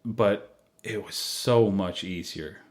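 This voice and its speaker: A man's voice: lowish voice, takes it slowly. A man with a Swedish accent, a film director talking casually through a commentary track, hesitant with many fillers and restarts. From Sweden.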